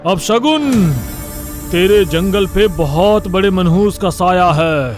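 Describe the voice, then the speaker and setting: A man voicing a theatrical village witch-doctor holy man in an animated fable, ominous and declamatory. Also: high-pitched, slow, soft background music.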